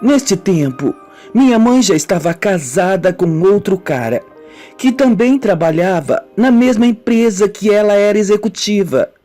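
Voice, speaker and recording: A woman with a Brazilian accent playing a wounded, brooding woman confessing her childhood in a melodramatic, deliberate radio soap style. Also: medium pitch, lively intonation, dark tone, lightly acted. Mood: wistful, bitter. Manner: slow, melodramatic, deliberate storytelling. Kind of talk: amateur radio soap acting. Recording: music bed under the voice.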